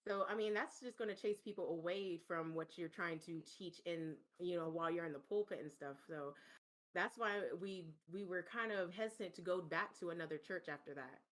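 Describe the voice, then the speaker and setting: A woman's voice: lowish voice, moderate pace. A woman who is a guest on a podcast, telling her experiences earnestly in casual chat.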